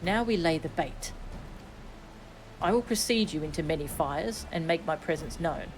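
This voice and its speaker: A woman voicing a formal, resolute young nobleman from a fantasy game in a measured, commanding way. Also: low voice, animated, a little hiss or hum, poor audio.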